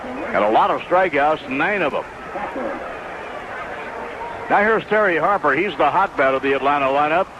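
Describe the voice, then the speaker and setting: A man's voice: on the high side, animated, moderate pace, long pauses. A man announcing baseball play-by-play and game statistics on the radio. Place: stadium broadcast booth. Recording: dull noisy vintage AM radio.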